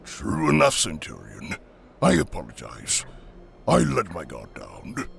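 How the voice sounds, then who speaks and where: Very gravelly, deep orc brute. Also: male voice, wildly swooping pitch, a little slow, a bit bright, faint music behind, exaggerated voice. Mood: stoic, deferential. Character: hulking male orc brute, loyal, stoic soldier. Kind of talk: dramatic audio drama acting.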